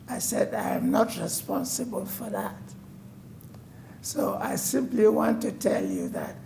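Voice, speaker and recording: A man's voice: sits high, huge pitch swings, takes it slowly, vocal fry, pauses often, dark tone, slight background noise. A man in his nineties from West Africa, telling a formal personal story to a city board. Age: 90s. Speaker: resident addressing a code enforcement board. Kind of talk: personal testimony at a public meeting. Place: city council chamber.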